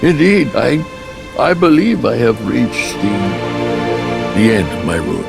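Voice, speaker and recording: An actor playing a gentle, gravely wounded old master in a video game, weak, halting and formal, with a gravelly voice. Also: male voice, mid-range voice, very animated, full character voice. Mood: dying, solemn. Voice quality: gravelly, creaky. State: weak, coughing, dying. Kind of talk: video game cutscene acting. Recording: music behind the voice.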